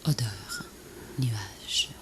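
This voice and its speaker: A woman recites poetry as a voice-over in a solemn, measured, breathy and often half-whispered delivery. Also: a bass voice. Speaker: poet narrator of a video poem. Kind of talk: poetic recitation, scripted voice-over. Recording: noisy VHS transfer, ambient noise.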